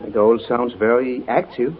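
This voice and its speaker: An actor playing a kindly, amused older doctor in an avuncular, gently teasing 1940s radio drama style. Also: male voice, medium pitch, normal speed, natural voice. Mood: amused, curious. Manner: avuncular, hesitant, chuckling. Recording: muffled old 1940s radio recording.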